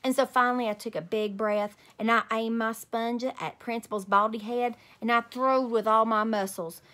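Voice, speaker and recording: A woman's voice: a little high, steady tone, moderate pace, fairly bright tone. A woman in her thirties reading a storybook aloud expressively as a host for young children. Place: small home room indoors.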